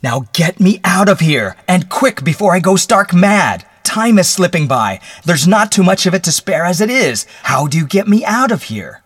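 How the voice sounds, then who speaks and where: Man acting a frantic, husky condemned prisoner. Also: medium pitch, expressive, a little fast, no echo, studio quality, voice pushed up, lightly acted. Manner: pleading, panting, vehement outbursts. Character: desperate condemned male prisoner, frantic and overwrought. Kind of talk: melodramatic audiobook character voice.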